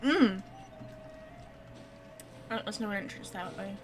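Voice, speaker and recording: A woman's voice: medium pitch, slow, soft background music. A woman giving chatty, informal live gameplay commentary, thinking aloud as she goes. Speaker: game streamer.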